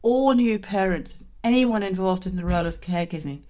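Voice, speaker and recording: A woman's voice: medium pitch, animated, a little fast, warm tone. A woman hosting a parenting podcast, reading a supportive intro and welcoming her guest. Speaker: podcast host. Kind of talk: scripted intro and interview.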